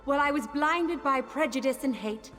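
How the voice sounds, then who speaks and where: A loyal, earnest elf noble for a fantasy game. Her high voice is solemn and formal, carried by hall reverb over faint music. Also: normal speed, fairly bright tone. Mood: solemn, sincere. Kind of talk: scripted video game dialogue.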